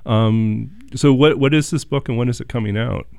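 A man hosting an interview, talking in a relaxed, reflective way with some stammering restarts. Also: medium pitch, moderate pace, vocal fry, dry recording, studio quality. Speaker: host. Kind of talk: casual interview chat.

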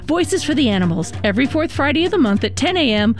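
Lively woman reading a radio promo. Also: high-pitched, fast talker. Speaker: radio show host in a promo.

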